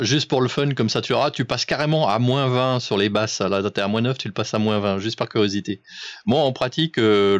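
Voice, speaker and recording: A man's voice: fairly low pitch, gravelly, no room sound. A man coaching another amateur radio operator through settings in a casual, instructive way. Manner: informal, instructive. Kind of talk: casual technical chat over amateur radio.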